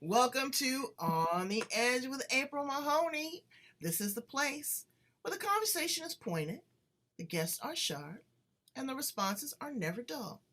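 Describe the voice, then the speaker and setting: Woman, American, talk show host, animated, chatty, slightly high voice. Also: unhurried. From United States. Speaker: podcast host. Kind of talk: casual interview chat.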